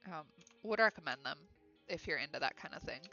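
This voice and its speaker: A woman's voice: low voice, lively intonation, fairly quick, pushed and bright, dull audio, soft background music. A woman with a Midwest American accent chats casually on a livestream while she draws, reading out viewer comments with fillers and giggles. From Ohio, USA. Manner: chatty and upbeat, with frequent fillers and giggles. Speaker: illustrator live-streaming her drawing.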